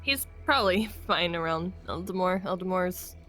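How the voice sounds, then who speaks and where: A woman's voice: lowish voice, animated, moderate pace, fairly bright tone. A woman playing a defensive, animal-loving survivor in a casual video game roleplay. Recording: background music.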